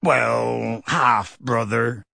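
A man voicing a huge, kindly half-giant for an audiobook, emotional and pleading, with halting, rustic speech. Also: medium pitch, steady tone, creaky, pushed and bright, no room sound, clean studio sound, voice pushed down, full character voice. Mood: anxious, confiding. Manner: earnest, halting, rustic dialect grammar. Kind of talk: audiobook character voice.

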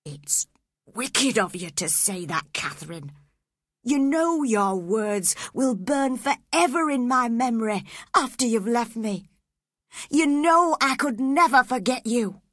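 A woman voicing an anguished, passionate young man who speaks intensely and slowly. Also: a little high, animated, a bit bright, no echo, clean studio sound, pitched above the performer's own voice, full character voice. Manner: intense, slow, emotional delivery. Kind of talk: audiobook character reading.

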